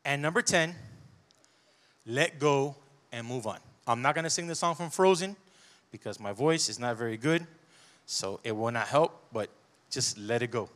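Animated man preaching with a handheld mic. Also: mid-range voice, moderate pace, pauses often. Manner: conversational, animated preaching with asides. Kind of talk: sermon. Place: church stage.

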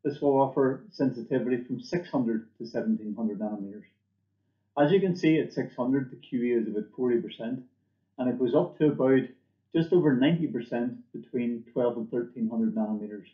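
A man's voice: medium pitch, a little fast. Middle-aged man presenting, echoey dull recording. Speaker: company presenter. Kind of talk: scripted product presentation. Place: indoor room, seen on webcam. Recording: echoey room, dull muffled audio.

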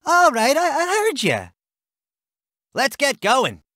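An actor playing a brash American army truck driver in a video game, with punchy, clipped, slangy soldier delivery. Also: male voice, very high voice, wildly swooping pitch, slow, pushed and bright, no echo, clean studio sound, full character voice. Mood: eager, ready. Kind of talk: video game unit barks.

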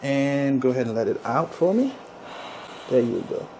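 A male chiropractor with a gravelly, mid-pitched voice talks a client through bodywork. His cues are short, repeated and reassuring. The small treatment room recording carries clear background noise. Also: animated, normal speed, a little mellow, poor audio. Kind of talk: hands-on instruction to a client, ASMR bodywork session.

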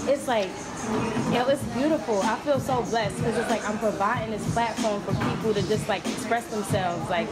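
A young woman hosting her own show, talking excitedly and casually with lots of "like" fillers. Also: on the high side. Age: young adult. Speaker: show host. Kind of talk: casual interview chat. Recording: loud music underneath.

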